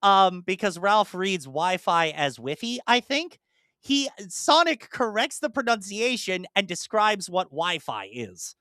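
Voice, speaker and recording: A podcast host chatting casually in an animated, rambling way, slipping into imagined dialogue. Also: medium pitch, unhurried, no echo, studio quality. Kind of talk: casual chat, enthusiastic monologue about films.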